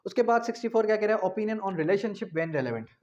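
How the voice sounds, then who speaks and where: A man giving an explanatory law lecture, repeating key phrases slowly and asking rhetorical questions in a didactic way. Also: high-pitched, expressive, a little mellow. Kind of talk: explanatory law lecture, mixing in English terms. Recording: dull, muffled lo-fi recording.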